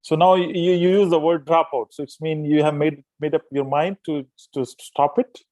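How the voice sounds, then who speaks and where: A man hosting a tech podcast interview, halting and repeating words as he forms his questions. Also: a little high, flat delivery, dark tone, dry recording. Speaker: podcast host.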